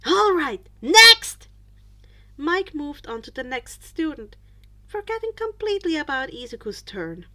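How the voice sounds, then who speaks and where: A woman narrating a written story as a podfic reader, with expressive scripted delivery. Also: high-pitched, moderate pace.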